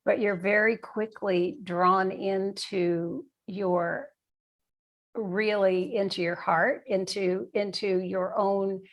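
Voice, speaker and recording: Woman talking real slow in an interview, thoughtful, kinda halting, circles back over her words. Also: medium pitch, fairly even intonation. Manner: halting, searching for words, repeating phrases. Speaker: guest, nursing and bioethics professor. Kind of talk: reflective interview conversation.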